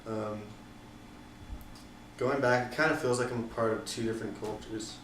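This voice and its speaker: A young man from the Netherlands answering interview questions casually, pausing often with ums and likes. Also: on the low side, fairly even intonation, fairly bright tone, reverberant, far from the mic, a little hiss or hum, poor audio. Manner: hesitant, many ums and likes.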